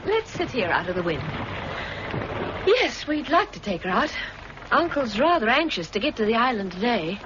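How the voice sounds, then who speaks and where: An actress playing Mary, a bright, teasing young woman on a Scottish island, in a 1960s radio drama. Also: fairly high pitch, normal speed. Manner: lively, playful, laughs easily. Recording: dull lo-fi archive radio recording, faint hiss.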